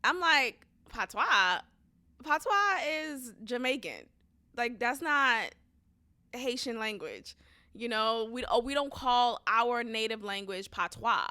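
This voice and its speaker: A young woman hosting a podcast, telling a story with an animated, emphatic delivery and frequent swearing. Her voice sits a little high and sounds bright and forceful. The recording is dry and close. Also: slow pace, dry recording. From United States. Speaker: podcast host. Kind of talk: casual storytelling.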